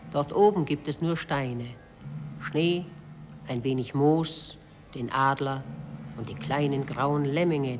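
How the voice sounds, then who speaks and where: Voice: mid-range voice, natural voice. An actor voicing a proud, wild river spirit born of glacier ice, in a poetic monologue from a 1950s German radio play. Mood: proud, lyrical. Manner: lyrical, proud, declaimed. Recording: old radio drama with faint noise.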